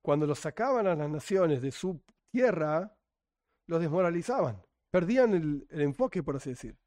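A middle-aged man with a Rioplatense Spanish accent teaching an informal religious class. His voice sits high for a man. He jumps between explanation, rhetorical questions and teasing, sarcastic remarks aimed at his students. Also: very animated, moderate pace, darkish tone. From Argentina, Buenos Aires. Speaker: Torah teacher.

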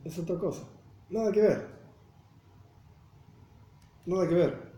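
A man's voice: sits high, slow, mellow. A man from Argentina with a Buenos Aires accent, teaching a religious class and explaining with rhetorical questions. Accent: Buenos Aires Spanish. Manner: explanatory, asks rhetorical questions, checks listeners follow. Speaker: Torah teacher. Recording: roomy echo.

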